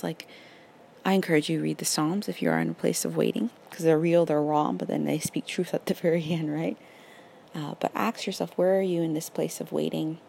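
A raspy young woman hosting a casual faith podcast, earnest and encouraging, full of "like" and "literally". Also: deep voice, darkish tone, a little hiss or hum. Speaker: podcast host.

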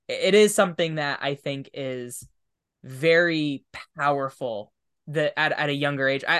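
Reflective podcast host, mid-pitched voice. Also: a bit bright, smooth. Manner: reflective, thinking aloud. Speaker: tabletop game master. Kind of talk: conversational interview, casual chat.

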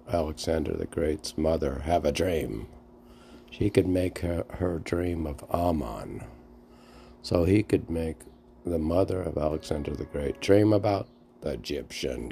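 Slow, gravelly, low-voiced man, rambling and musing. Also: warm tone, slight background noise. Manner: halting, musing, repeating phrases. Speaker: podcast host reading poetry. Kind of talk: rambling casual talk and poem recitation.

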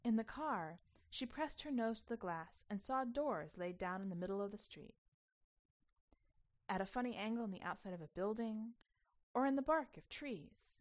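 A woman reading a short story aloud as an expressive, emphatic narrator with a sense of childlike wonder. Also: fairly high pitch, normal speed.

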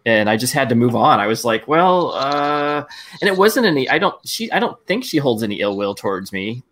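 A man's voice: mid-range voice, very animated, a little fast, a bit bright. Easygoing man from Arizona, laughing. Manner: easygoing, laughs often, rambling. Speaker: co-host. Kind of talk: casual group chat on a video stream.

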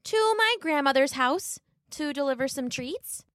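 Innocent young fairy-tale girl, acted by a woman: higher register, cheerful, well-mannered, animated. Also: measured pace, no echo, studio quality. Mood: polite. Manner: polite, bright and childlike.

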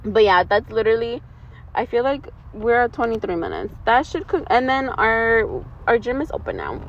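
A woman's voice: fairly high pitch, very animated, normal speed. Muffled lo-fi audio w some traffic noise, girl in her 20s rambling casually, slangy n giggly. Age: 20s, twenty-three. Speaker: vlog host. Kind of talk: casual chat with a friend, slangy. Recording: dull lo-fi, outdoor traffic noise.

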